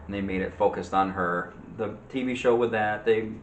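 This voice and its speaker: Lower voiced guy debating movies, halting and hesitant, echoey room w faint noise. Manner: halting, hesitant phrasing, disagreeing. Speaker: co-host of a movie discussion show.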